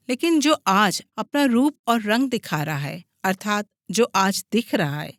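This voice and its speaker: A woman's voice: fairly high pitch, no echo, clean studio sound. A woman reading a scripted audiobook as its narrator.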